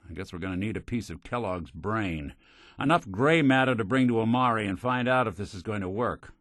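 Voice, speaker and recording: An actor playing a weary, wry but kind synth private detective in a video game, with an American accent and a folksy old-time gumshoe delivery. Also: male voice, fairly low pitch, no room sound, clean studio sound, lightly acted. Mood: dry, measured. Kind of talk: noir detective game dialogue.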